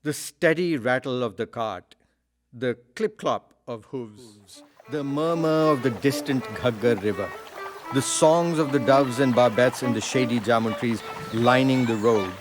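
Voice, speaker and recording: A male actor plays an eloquent storyteller in an audio drama. He narrates with lyrical wonder in a medium-pitched voice, shaping ornate phrases in measured fashion. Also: soft background music, lightly acted.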